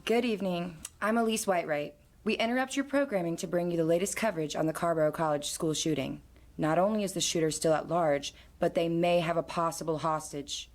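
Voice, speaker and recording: A woman's voice: lowish voice, brisk, natural voice. A woman playing a composed TV news anchor in an audio drama, with formal news-read delivery. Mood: formal, urgent.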